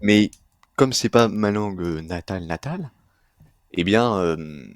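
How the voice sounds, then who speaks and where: A man from France, a guest in a casual discussion, calmly explaining his reasons. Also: deep voice, unhurried, no room sound, clean studio sound.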